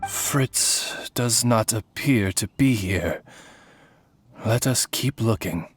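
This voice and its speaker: Measured, courtly raven servant. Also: male voice, lowish voice, lively intonation, soft background music. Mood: composed. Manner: formal, polite, measured. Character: courtly, composed raven familiar, a devoted butler-like servant. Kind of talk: video game voice acting.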